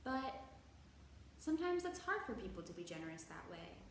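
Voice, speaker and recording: A woman's voice: lowish voice, big pitch swings, gravelly, fairly bright tone. A woman hosting a children's storytime, teaching young viewers in an encouraging manner and carefully stretching out key words. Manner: encouraging, exaggerated slow enunciation of key words. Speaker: children's library storytime host. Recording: very echoey room, distant mic, faint noise.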